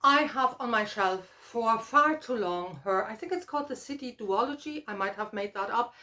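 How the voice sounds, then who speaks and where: A middle-aged woman talking casually to viewers as a book vlogger, in a halting, thoughtful way with trailing asides. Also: a little high, expressive, bright, forceful tone. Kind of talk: casual book chat to camera.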